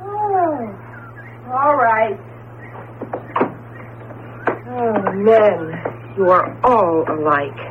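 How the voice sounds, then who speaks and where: An exasperated, sardonic wife in a 1970s radio drama. Her delivery is theatrical and emphatic, swinging widely in pitch, on a thin, telephone-like recording with faint hiss. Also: expressive, drawn-out words.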